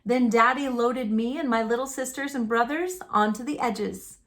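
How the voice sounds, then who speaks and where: Woman, slightly high pitch, children's storytelling, folksy, expressive narration. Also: normal speed. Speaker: storyteller reading a picture book aloud. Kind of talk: expressive read-aloud narration of a children's book.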